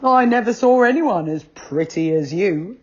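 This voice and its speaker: A woman doing a vain chatty rabbit driver, lively pitch, a bit husky, dull lo-fi storybook recording. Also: a little slow. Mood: friendly. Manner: chatty, self-admiring. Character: vain, friendly male rabbit car driver.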